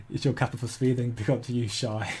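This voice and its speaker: A man's voice: medium pitch, fairly even intonation, normal speed, darkish tone, a little hiss or hum. A man, hosting a casual crypto livestream and answering viewer comments in a chatty, self-correcting way. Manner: chatty, self-correcting, laughs easily at chat.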